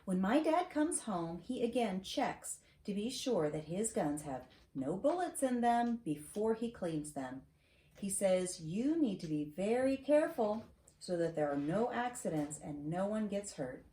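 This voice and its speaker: Storyteller: middle-aged woman, soft-edged mellow tone, encouraging and chatty read-aloud for kids, roomy sound. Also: medium pitch, big pitch swings, measured pace. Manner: encouraging, asks questions and checks understanding with "right?". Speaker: storyteller reading to grandchild. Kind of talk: children's picture-book read-aloud with chatty asides. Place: wood-shelved home study, indoors. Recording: roomy echo, faint noise, clicks.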